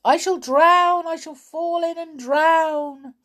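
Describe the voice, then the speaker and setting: A woman voicing a frightened male sailor doll in a children's story, wailing and yelling in panic. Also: slow pace, exaggerated voice. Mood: panicked shouting. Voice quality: high-pitched wail.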